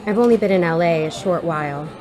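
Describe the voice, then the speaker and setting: A woman's voice: on the low side, fast, close to an everyday voice. A woman playing a helpful, patient young aspiring actor in a naturalistic audio drama, reassuring and lightly wry.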